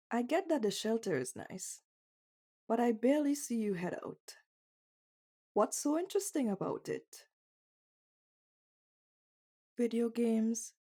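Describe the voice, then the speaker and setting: Brief: female performer as teasing young bunny girl for intimate ASMR roleplay; unhurried, warm, relaxed tone, blunt and playful. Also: a little high. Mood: curious, innocent. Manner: playful, blunt, questioning, intimate one-sided conversation. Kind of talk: ASMR roleplay audio drama.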